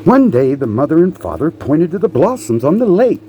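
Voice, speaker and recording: A man reading a children's picture book aloud in a halting way, repeating words and slipping in playful asides to the listener. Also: a little high, big pitch swings, warm tone, slight background noise. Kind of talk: storybook read-aloud with casual asides.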